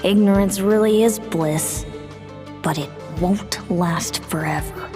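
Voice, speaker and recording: Un'attrice che interpreta in un videogioco una giovane rivale fredda, controllata e amara. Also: female voice, medium pitch, takes it slowly, music in the background, lightly acted. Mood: smug, cool. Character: cool, commanding young female rival with bitter edge. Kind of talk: video game voice acting.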